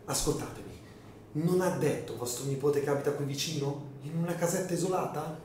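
A man playing an insistent, desperately hopeful gentleman in a melodramatic radio drama, emphatic and pleading. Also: a little high, brisk, slight background noise, natural voice. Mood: urgent, persuasive. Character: earnest, insistent, desperately hopeful gentleman, the dead countess's brother. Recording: very echoey room, music behind.

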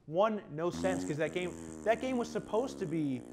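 A man's voice: high voice, animated, moderate pace, background noise. A man, co-hosting a casual video game panel discussion in an insistent manner. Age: 20s. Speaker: video game show co-host. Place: small TV studio.

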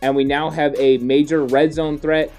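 A man in his thirties, a podcast co-host, explaining football draft moves in a steady, casual way with frequent fillers. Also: on the high side, normal speed, faint music behind. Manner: steady, explanatory, many "you know" fillers. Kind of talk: casual sports analysis chat. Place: home studio, indoors. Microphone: studio mic on boom arm.

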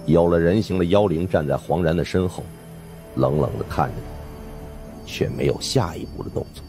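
A man's voice: low-pitched, moderate pace, dark tone, faint music behind. A man giving dramatic scripted narration of supernatural suspense fiction as an audiobook narrator.

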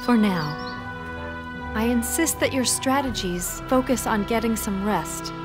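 Game heroine: devoted young wife, higher voice, slow and earnest, music underneath. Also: female voice, lively intonation. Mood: concerned. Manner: earnest, measured, formal. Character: devoted, gentle young wife of a strategist, Chinese war heroine. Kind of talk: scripted video game cutscene acting.

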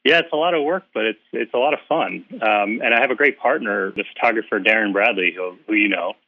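A guest author from the Philadelphia area chatting in an interview, hesitant with lots of uhs but friendly. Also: male voice, lowish voice, quick pace, vocal fry. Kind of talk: casual interview chat. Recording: tinny phone-like line, dry.

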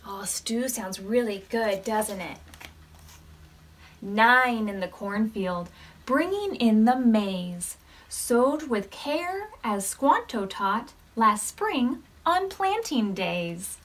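An American woman in her thirties leading storytime as a children's librarian, reading aloud expressively to preschoolers and putting questions to them. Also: a little high. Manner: expressive, measured reading with questions to young listeners.

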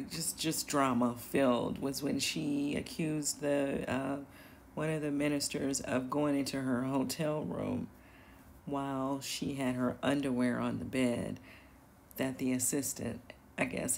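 A woman hosting a casual commentary show, her voice slightly gravelly with some vocal fry. She rambles through her opinions with plenty of fillers and hesitant restarts. A faint hiss of noise sits behind her. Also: very deep voice, darkish tone. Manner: chatty, hesitant, full of fillers and little laughs. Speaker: commentary channel host.

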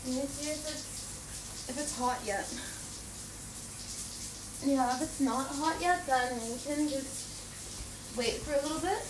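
A young woman playing a playful, affectionate, teasing girlfriend in an intimate ASMR roleplay, coaxing in a girlish murmur. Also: high voice. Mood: soft, casual. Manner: giggly, coaxing, unhurried murmuring with pauses. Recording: echoey, distant, noisy with running bathwater.

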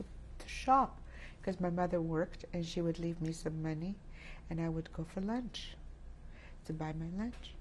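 A Polish-born woman in her sixties, a native Polish speaker, giving reflective oral history testimony in a halting way, with many restarts. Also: fairly low pitch, darkish tone, a little hiss or hum. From Poland. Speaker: interviewee. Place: indoor studio set with plants.

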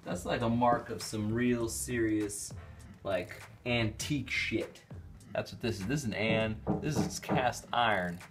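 A man's voice: lowish voice, a little slow, music in the background. A man in his thirties chatting casually and sardonically as he shows people round a house, with mock-showy slang and swearing, recorded on a handheld camera indoors. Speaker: vlogger giving a house tour. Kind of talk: casual, joking house-tour chat. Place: small rooms of a rundown house.

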